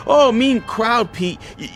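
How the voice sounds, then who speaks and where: A man's voice: very high voice, expressive, takes it slowly, a bit bright, soft background music, full character voice. An actor playing a nervous, whiny male sidekick who stammers and pleads in a radio drama. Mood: anxious, placating.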